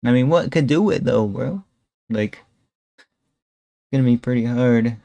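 A man's voice: medium pitch, a little slow, gravelly, dark tone, no echo. A young man streaming a casual reaction, rambling with lots of fillers like "bro" and "guys", talking into a headset at home. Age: young adult. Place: indoor room at home. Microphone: headset, webcam framing.

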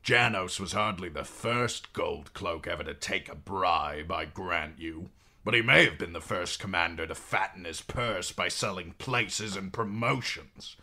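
A man's voice: low voice, unhurried, bright, forceful tone, heavily acted. A man voicing a stern, cold middle-aged king with a curt, commanding manner.

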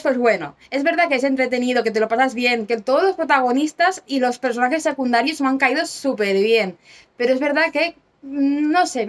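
A hoarse young woman chatting casually to camera as a book vlogger, recorded at home on a phone or camera held close. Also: on the high side. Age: young adult. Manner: chatty, quick asides and fillers. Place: small rooms at home and an outdoor terrace.

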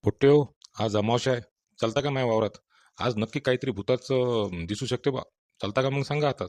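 A man voicing a calm, knowing old village farmer with a rural Vidarbha Marathi accent in cartoon storytelling. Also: mid-range voice, brisk, no echo, lightly acted.

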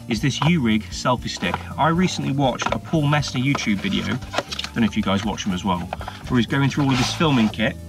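A man in his thirties with an English accent, chatting cheerfully to camera as a van life vlogger inside a parked camper van. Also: mid-range voice. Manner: chatty, cheeky, upbeat. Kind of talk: casual vlog chat to camera. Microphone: action camera on a mount. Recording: music playing underneath.

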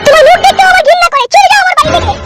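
A woman voicing a wronged young daughter in a comedy cartoon, with a shrill, melodramatic delivery over loud music. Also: racing. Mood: scornful anger.

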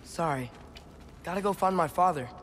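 An actor playing an earnest, gentle teenage boy warrior in a video game, sincere and soft-hearted. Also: high voice, moderate pace, slight background noise. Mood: respectful. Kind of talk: video game dialogue acting.

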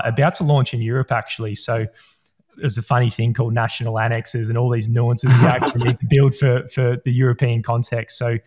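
Man explaining his product with energy. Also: mid-range voice. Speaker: software co-founder, guest. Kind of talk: business explanation. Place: home office with bookshelves. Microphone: podcast mic on arm, video call.

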